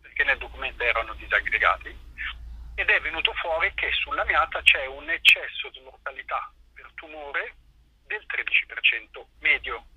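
A man's voice: mid-range voice, pushed and bright, slightly rough, rough recording. A man explaining things informally in an interview, earnest, discursive and colloquial. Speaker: activist, interview guest.

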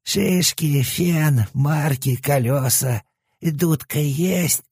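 Whiny nasal monster head, high-pitched, drawling, husky, crude and teasing. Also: male voice, very slow, a little mellow, dry recording, clean studio sound, exaggerated voice. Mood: sneering. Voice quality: nasal, sleazy, like a stoned junkie. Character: mischievous nasal left head of a three-headed hellhound. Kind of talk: audiobook character voice.

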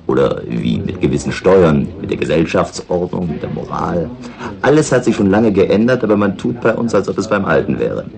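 A man playing a gruff, mocking local in a tavern, dry and cynical, in a 1960s radio drama. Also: deep voice, animated, normal speed, crowd noise.

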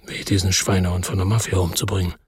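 World-weary crime narrator, low pitch, very raspy, introspective, grave, radio drama. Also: male voice, level tone, fast talker, no room sound, studio quality. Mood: brooding. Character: brooding, world-weary male narrator-hero of a crime story.

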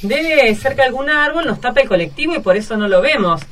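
A woman's voice: high-pitched, animated, a little fast, fairly bright tone, a little hiss or hum. Reassuring teacher on a school trip played by a woman. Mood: organising. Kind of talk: amateur school radio play.

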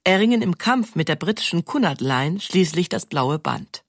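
Female narrator, very low register, scripted history documentary, dry close studio sound. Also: lively intonation, moderate pace, dry recording.

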